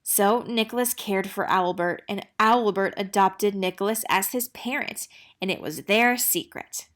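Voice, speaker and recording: A woman reads a children's storybook aloud at a medium pitch. She narrates expressively, as a storyteller would for young listeners. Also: a little slow, fairly bright tone. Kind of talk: children's picture book read-aloud.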